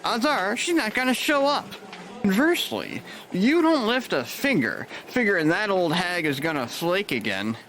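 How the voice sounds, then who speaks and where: A man plays a cynical, foul-mouthed hospital worker who talks with sarcastic, animated swings in a fairly high voice. Faint room ambience sits behind him. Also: normal speed, slight background noise, exaggerated voice, poor audio. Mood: sardonic.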